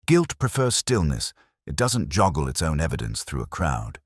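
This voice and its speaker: Unhurried, dry-witted police inspector for a mystery tale, voiced by a man; rumbling low pitch, polite, close dry sound. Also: big pitch swings, no echo, heavily acted. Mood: dry, reflective. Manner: gentle, measured, merely courteous. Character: courteous, unhurried male police inspector, dryly ironic.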